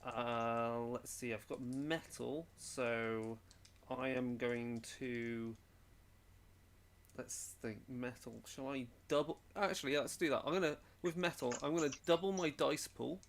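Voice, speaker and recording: Slow, creaky-voiced man thinking aloud. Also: medium pitch, fairly even intonation, slight background noise. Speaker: player in a tabletop game. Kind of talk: casual game chat.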